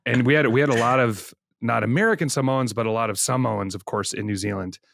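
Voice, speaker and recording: A man's voice: mid-range voice, fast, gravelly, fairly bright tone, no echo, clean studio sound. Enthusiastic chatty male podcast host. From Netherlands. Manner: chatty, enthusiastic, self-deprecating. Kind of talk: casual interview chat.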